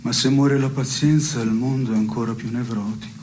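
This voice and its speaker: An actor playing a deadpan, solemn man in a theatre dialogue, speaking deliberately with halting pauses. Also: mid-range voice, lightly acted. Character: deadpan man solemnly pondering what should die. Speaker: stage actor. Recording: clearly noisy background.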